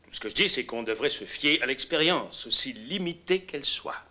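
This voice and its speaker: A man's voice: mid-range voice, expressive, normal speed, pushed and bright. A man acting an earnest, cautious rocket engineer in a dubbed docudrama, formal and insistent. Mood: insistent, worried.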